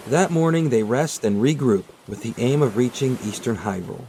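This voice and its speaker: A man reading scripted, storybook-style narration in a measured, dramatic storytelling manner. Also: medium pitch, expressive, dark tone, a little hiss or hum. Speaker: narrator of a manga retelling video. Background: background music.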